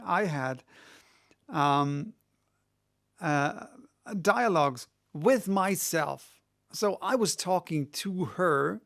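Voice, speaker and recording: A middle-aged man with a gravelly, fry-edged voice talks loosely and at length. He restarts sentences often and lifts into an animated tone when he retells what someone said. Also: a little high. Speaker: audiobook reader answering questions. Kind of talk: casual monologue.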